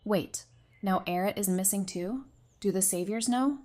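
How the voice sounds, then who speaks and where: A woman's voice: medium pitch, unhurried, warm tone, lightly acted. A woman playing a caring, stubborn and playful survivor in an audio drama. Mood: concerned. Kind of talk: fan audio drama acting.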